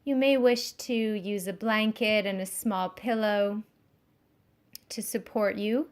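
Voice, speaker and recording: A woman giving guided yoga nidra instruction in a soothing, measured, gently instructive way. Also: mid-range voice.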